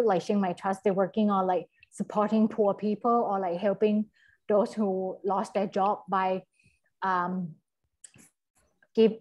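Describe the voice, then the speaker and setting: A woman's voice: mid-range voice, mellow. A woman in her thirties with a Thai accent, explaining conversationally on a video call through an earphone mic, often searching for words. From Thailand. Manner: halting, searching for words, frequent "like" and "you know". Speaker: guest speaker. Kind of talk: conversational explanation in an online session. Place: small indoor room, video call. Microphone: wired earphone mic on a video call.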